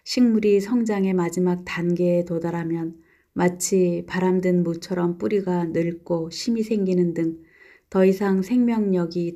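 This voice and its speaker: A woman reads aloud from a nonfiction book in a calm, gentle, steady way. Her voice sits a little low and sounds mellow and warm, never sharp. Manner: calm, gentle, even delivery.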